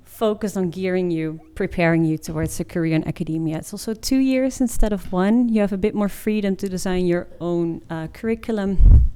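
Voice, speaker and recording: A woman's voice: on the low side, brisk, hardly any pauses, warm tone, smooth. A woman in her twenties giving an informative presentation in an informal, explanatory way. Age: 20s. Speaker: master's student presenter.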